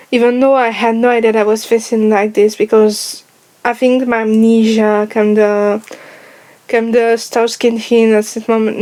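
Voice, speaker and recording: Soft-spoken French woman, careful English. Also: a little high, low-quality recording. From France. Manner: careful, hesitant, often searching for words. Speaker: vlogger. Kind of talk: casual personal vlog. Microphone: headphones on, speaking to camera.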